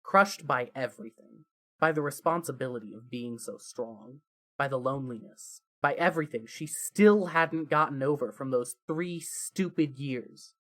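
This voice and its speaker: Mid-pitched storyteller reading fan fiction dramatically. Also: normal speed, fairly bright tone. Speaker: narrator. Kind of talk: scripted fan fiction narration.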